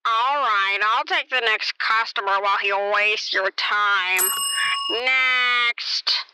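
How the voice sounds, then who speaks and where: A woman's voice: mid-range voice, slow, heavy background noise, lightly acted. A woman playing a jaded, gossipy clinic receptionist in an amateur radio play, blunt, dismissive and matter-of-fact.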